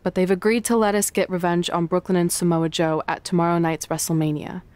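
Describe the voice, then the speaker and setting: A woman's voice: fairly low pitch, little pitch movement, brisk, darkish tone, clean tone, no room sound, close to an everyday voice. A woman acting as an earnest, teasing young wrestler and loyal best friend in a video game cutscene, speaking sincerely and conversationally. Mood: determined.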